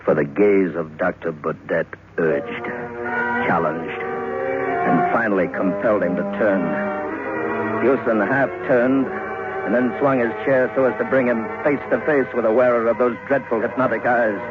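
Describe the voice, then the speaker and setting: Tinny vintage radio horror narrator, male. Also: lowish voice. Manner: suspenseful, deliberate pauses, theatrical. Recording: tinny vintage radio recording, music behind.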